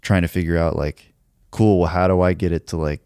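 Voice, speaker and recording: A man who writes songs, talking in a relaxed podcast conversation, thinking aloud and reflecting at length with many filler words. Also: sits low, brisk, clean tone, no room sound, clean studio sound. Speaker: songwriter.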